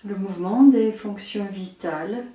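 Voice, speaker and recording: Very slow, soft-toned woman guiding meditation. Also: medium pitch. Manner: measured, short phrases with long pauses. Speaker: yoga nidra instructor. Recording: roomy echo, distant microphone.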